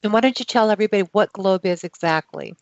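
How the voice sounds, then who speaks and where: A woman's voice: medium pitch, quick pace, dry recording. Woman host on a dull, dry recording. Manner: reads out a long list, then chats. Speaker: podcast host. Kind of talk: interview host, reading an introduction.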